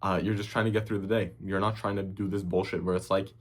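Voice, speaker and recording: A man's voice: low-pitched, flat delivery, quick pace. A young man from Hungary with a slightly Americanized Hungarian accent, the host of a cooking channel, ranting casually to camera, profane and rambling with lots of fillers. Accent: Hungarian, partly Americanized.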